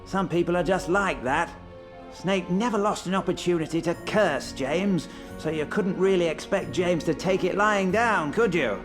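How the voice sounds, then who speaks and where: An actor playing a calm, kindly family friend in a video game, with a British accent. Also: male voice, sits high, moderate pace, natural voice. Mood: sympathetic. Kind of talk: video game cutscene acting. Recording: music behind the voice.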